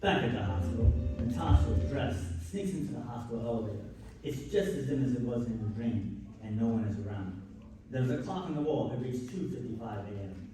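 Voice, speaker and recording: A man's voice: mid-range voice, animated, brisk, crowd noise. A man narrating a scripted live radio play on stage. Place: live stage at a comic convention. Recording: very echoey hall, far off, music behind. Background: music.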